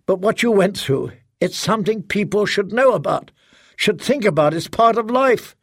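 A man voicing a helpless, defensive middle-aged father who is a writer, speaking haltingly in an audiobook. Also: high-pitched, lively intonation, dry recording, clean studio sound. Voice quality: hesitant, halting. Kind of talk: audiobook character acting.

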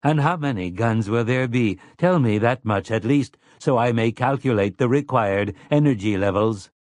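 A man's voice: mid-range voice, moderate pace, darkish tone, no echo, lightly acted. A man voicing a smug, polite male robot with stiff, formal, old-fashioned phrasing in an audiobook. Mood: smug, eager. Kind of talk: audiobook character voice.

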